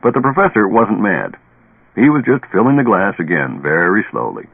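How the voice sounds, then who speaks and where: An actor playing a streetwise, sardonic barroom storyteller in colloquial, dramatic radio-drama narration. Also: male voice, on the low side, big pitch swings, normal speed, vocal fry, slightly rough, phone-quality sound, full character voice. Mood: wry, exasperated. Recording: band-limited old radio recording, echoey.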